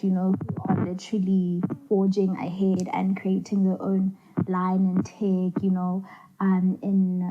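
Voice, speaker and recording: A young woman co-hosting a casual podcast, speaking reflectively and pausing to search for her words. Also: mid-range voice, warm tone, low-quality recording. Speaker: co-host.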